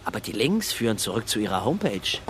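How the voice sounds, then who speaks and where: Terse, suspicious, lowish-voiced man. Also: sing-song, fast talker, noisy background. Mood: probing, accusing. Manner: terse, insistent, interrogating. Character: suspicious, probing male political aide. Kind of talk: naturalistic radio drama acting.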